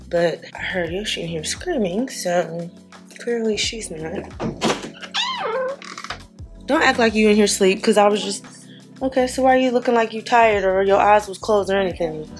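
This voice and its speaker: A woman's voice: medium pitch, moderate pace, soft background music. A young woman vlogging casually to a handheld camera at home, chatty and teasing, bluntly scolding her daughter at times. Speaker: mom vlogger. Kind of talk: casual vlog chat to camera. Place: home kitchen and backyard pool. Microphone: handheld camera at arm's length.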